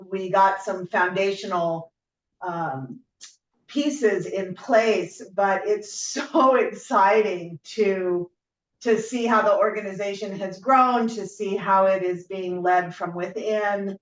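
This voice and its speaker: A woman giving thoughtful, informal remarks on a group call, in a halting, reflective way. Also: medium pitch, fairly bright tone. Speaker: supporter commenting on a donor call. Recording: roomy, distant, muffled, faintly noisy call audio.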